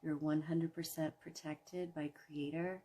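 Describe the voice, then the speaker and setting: A woman leading a guided imagery relaxation in a soothing, gentle manner, leaving long pauses between phrases. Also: low voice, little pitch movement, husky, rough recording. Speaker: guided meditation host.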